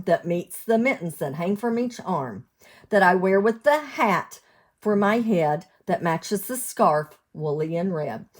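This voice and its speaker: An American woman reading a storybook aloud to young children as a teacher would, in an expressive sing-song way, asking them questions. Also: medium pitch. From United States, lived in West Virginia.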